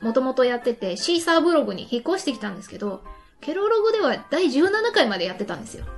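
High voiced lady hosting a chatty radio show, bit of music underneath. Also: sing-song, rapid-fire. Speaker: radio show host reading listener messages. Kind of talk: casual radio talk. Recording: light music behind the voice. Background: background music.

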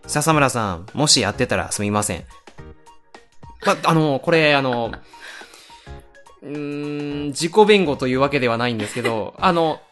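A woman with a low voice and lively intonation co-hosts a casual radio chat. She speaks politely, in a self-deprecating, apologetic way, over light background music. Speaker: radio show co-host. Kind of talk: casual chat, reading listener letters.

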